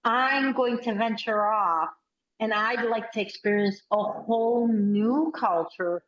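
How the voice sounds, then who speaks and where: A woman, chatting on a video call as a workshop participant and telling stories with quick, animated bursts. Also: on the high side. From United States. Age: 60s. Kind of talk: casual video call chat. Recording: roomy, slightly muffled call audio.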